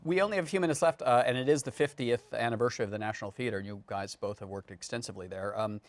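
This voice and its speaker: A man's voice: mid-range voice, very fast, no echo. A man hosting a talk show, putting questions and observations to guests in a conversational style. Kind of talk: conversational interviewing.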